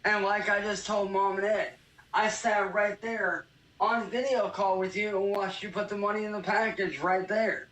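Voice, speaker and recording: A man's voice: high-pitched, steady tone, normal speed, fairly bright tone. A man venting angrily and swearing in a casual video. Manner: angry, profane venting. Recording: echoey room.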